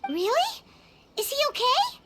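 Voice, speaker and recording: A woman voicing a small, excitable, loyal fairy companion in a video game, with a squeaky childlike voice and openly emotional delivery. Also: measured pace, very noisy, exaggerated voice. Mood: concerned. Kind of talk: English video game voice acting.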